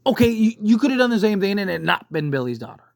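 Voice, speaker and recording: An online commentator with a mid-pitched voice, recorded close and very dry. The delivery is a casual, opinionated rant, emphatic and conversational, punctuated by rhetorical check-ins. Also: sing-song, fast talker, creaky, a little mellow, dry recording. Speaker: YouTube host giving film commentary.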